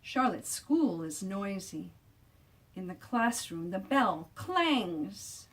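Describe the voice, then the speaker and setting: A woman reads a children's picture book aloud at an unhurried pace, in an expressive storytelling style. She is deliberate, lingering on key words for emphasis. The voice sits a little away from the microphone. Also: medium pitch, distant mic. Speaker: children's author reading own book aloud.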